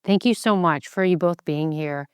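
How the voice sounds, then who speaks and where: A woman's voice: fairly low pitch, moderate pace, smooth, dry recording, clean studio sound. A woman hosting an interview podcast in a conversational style, commenting and putting questions to her guests.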